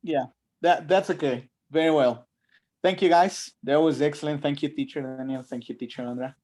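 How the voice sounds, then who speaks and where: Polite man trainer, higher voice. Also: darkish tone. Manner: polite, brisk, thanking participants. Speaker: teacher trainer leading the session. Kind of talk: running an online training session.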